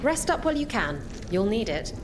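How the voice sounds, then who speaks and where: A woman's voice: a little high, big pitch swings, a little fast, noisy background. An actress playing a swaggering, playful veteran adventurer in a fantasy video game, teasing as she talks.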